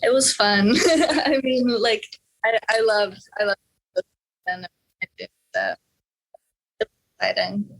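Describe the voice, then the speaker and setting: A woman's voice: mid-range voice, animated, slow pace, pauses often, faint music behind, low-quality recording. Teen girl from Norway, guest in a casual interview, hesitant answers full of fillers. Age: teens. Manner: hesitant, many fillers and restarts. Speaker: interview guest, young musician.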